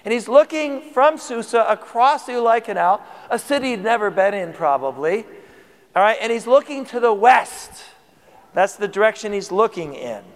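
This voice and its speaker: A man preaches a sermon with a fairly high voice, his throat tired from singing. He talks conversationally and asks the congregation questions. His voice carries a long reverb from a church hall. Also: lively intonation, normal speed. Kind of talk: sermon teaching.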